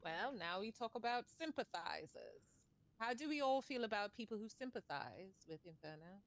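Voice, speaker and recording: A woman playing a measured discussion leader in a fantasy realm, feeling out her words and probing with questions in live online roleplay. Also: mid-range voice, expressive, close to an everyday voice. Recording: clearly noisy mic with clicks.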